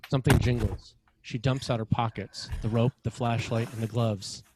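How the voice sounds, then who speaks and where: A man's voice: medium pitch, steady tone, normal speed, very noisy. A man reading scripted stage directions as the narrator of a screenplay.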